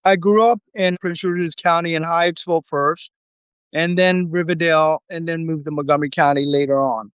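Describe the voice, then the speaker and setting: A man as an interview guest, answering in a relaxed, rambling way with frequent fillers. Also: on the high side, moderate pace, clear, resonant tone, no echo, dull audio. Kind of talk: casual conversation.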